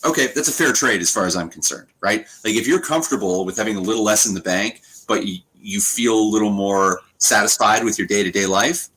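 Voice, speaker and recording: A man in his thirties in casual, reflective conversation, thinking aloud in a halting way. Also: fairly low pitch, fairly bright tone, breathy edge, poor audio. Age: 30s.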